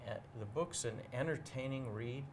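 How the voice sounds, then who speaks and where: A man in a casual interview, talking as a bookseller guest in a measured, explanatory way and pausing to choose his words. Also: medium pitch, background noise.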